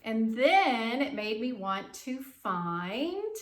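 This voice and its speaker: A woman's voice: a little high, very animated, slow, echoey room. A woman speaking informally as an elementary school teacher, chatting and reading a storybook aloud to children.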